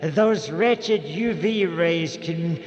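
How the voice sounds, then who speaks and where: Creepy, very slow old morgue storyteller. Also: male voice, on the high side. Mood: gleefully morbid. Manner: halting, chuckling, drawn-out macabre punning. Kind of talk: old-time radio horror host monologue. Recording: dull, echoey radio recording with long reverb.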